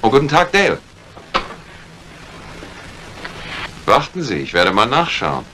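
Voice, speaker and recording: Bright, forceful, businesslike fellow. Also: male voice, mid-range voice, huge pitch swings, brisk. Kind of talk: retro German radio crime drama acting. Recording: noisy old radio recording.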